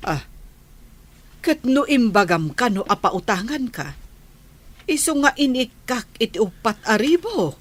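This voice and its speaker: A woman's voice: a little high, sing-song, a little slow, pauses often. A woman playing an insistent village auntie who lends money, in a radio drama. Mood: nosy, insistent. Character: village auntie, money lender chasing a debt.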